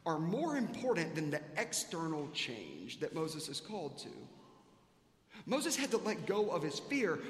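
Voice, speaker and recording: High-voiced male preacher in an echoing church. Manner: rhetorical repetition, earnest questioning. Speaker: pastor preaching to a congregation. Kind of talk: sermon. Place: large reverberant church sanctuary. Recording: echoey hall with long reverb.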